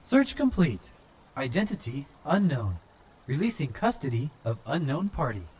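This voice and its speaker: A man's voice: fairly high pitch, sing-song, normal speed, darkish tone, slightly rough, pitched above the performer's own voice, full character voice. A man voicing a stiff, formal android police officer with stilted, emotionless official phrasing in an audiobook. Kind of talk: audiobook character voice.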